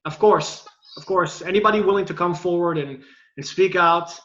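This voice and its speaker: A man hosting an interview, speaking in an earnest, measured and supportive way. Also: sits high, fairly bright tone, lo-fi sound. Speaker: host. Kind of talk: interview host.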